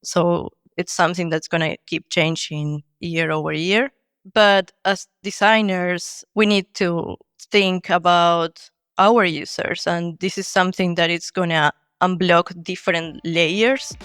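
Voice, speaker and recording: Argentine woman, design lead guest, explanatory and clear, dry close sound. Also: fairly low pitch, fairly even intonation, a little slow, a bit bright, no echo. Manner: explanatory, many fillers like "like". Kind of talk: podcast interview answers.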